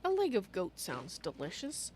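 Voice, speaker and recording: A woman voicing a gentle, fussy female cat-folk traveler in a game roleplay, musing aloud about herself in the third person. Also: medium pitch, moderate pace. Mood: cheerful. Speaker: livestream roleplayer. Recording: steady background noise.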